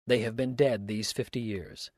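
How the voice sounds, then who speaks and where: A man voicing a stern, unshakeable accuser in a storybook, speaking in a solemn, measured way. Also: mid-range voice, fairly even intonation, a little mellow, dry recording, studio quality, lightly acted. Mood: grave, certain. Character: stern, unshakeable male accuser, grave and deliberate. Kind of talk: dramatic storybook reading.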